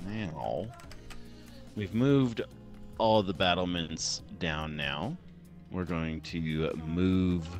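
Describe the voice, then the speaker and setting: A young man with a deep radio-style voice chatting casually on a live stream while he narrates his design work. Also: extremely slow, soft background music. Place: small room at home. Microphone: headset or desk mic at a computer.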